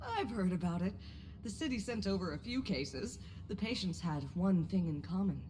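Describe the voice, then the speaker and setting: A woman voicing a teasing, smug female doctor in a video game, speaking in a playful, theatrical and deliberate way. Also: on the low side, big pitch swings, noisy background. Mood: matter-of-fact. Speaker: game character voice actor. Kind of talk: video game character acting. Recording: background music under the voice.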